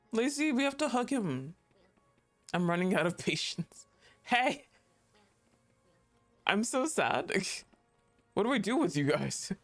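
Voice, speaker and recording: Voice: mid-range voice, creaky. A game streamer giving casual, playful livestream commentary, reacting aloud to the game and chatting with viewers.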